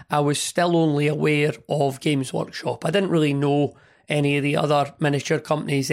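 A man hosting a hobby podcast, chatting in a rambling, enthusiastic way with a hoarse, partly lost voice from a cold. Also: on the high side. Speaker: podcast host. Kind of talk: casual hobby podcast monologue.

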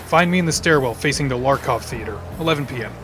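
A man's voice: medium pitch, animated, fast talker, over music, natural voice. A man playing a cool, sardonic male supervillain in an audio drama, with a dry, joking delivery. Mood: wry. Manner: dry, wisecracking.

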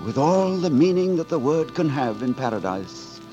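Gallant rogue poet, wide-swinging declamatory delivery, 1940s radio drama, music behind. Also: male voice, a little high, big pitch swings, normal speed, dull audio. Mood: tender, rueful. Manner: theatrical, poetic, declamatory. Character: gallant, roguish male gutter poet and rabble leader.

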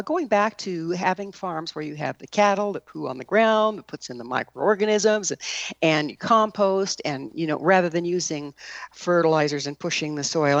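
A woman's voice: lowish voice, no echo, highs cut off. A woman with an American accent conducting an interview in a conversational style. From United States.

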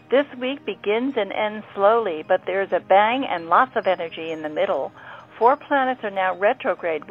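A woman presenting a scripted horoscope segment on the radio, reading as an astrologer. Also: medium pitch. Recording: phone-like narrow band, music behind. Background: music.

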